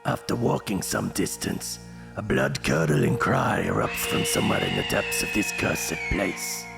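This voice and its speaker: A man playing a menacing fantasy gamebook narrator, addressing the listener with theatrical, deliberate dread. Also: sits low, wildly swooping pitch, gravelly, music in the background, full character voice. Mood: foreboding. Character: ominous gamebook narrator addressing the reader as adventurer.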